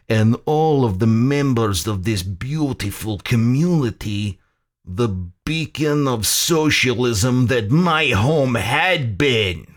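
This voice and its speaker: Man acting an oily, gloating general, slow and menacing. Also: medium pitch, pitched above the performer's own voice. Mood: vengeful, gloating. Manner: sultry, theatrical, deliberate.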